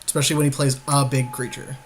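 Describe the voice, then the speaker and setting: A man's voice: medium pitch, little pitch movement, quick pace. A man co-commentating on an online card game in a casual, informal way, often with brief replies. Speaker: podcast co-host. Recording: noisy amateur screen-capture recording.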